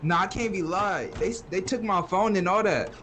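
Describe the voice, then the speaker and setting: Young American male gamer, slightly high voice, slangy and sweary, grumbling casually over noisy voice chat. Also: moderate pace, fairly bright tone. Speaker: fellow player in online role-play game. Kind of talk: casual in-game banter. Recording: noisy online game voice chat.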